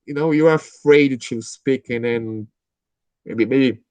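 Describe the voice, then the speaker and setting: A man's voice: mid-range voice, takes it slowly, a little mellow, clear, resonant tone, dry recording. A man with a Brazilian Portuguese accent chatting in English at a language club, hesitant and restarting often. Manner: hesitant, restarts often, laughs while telling stories. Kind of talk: casual conversation practice.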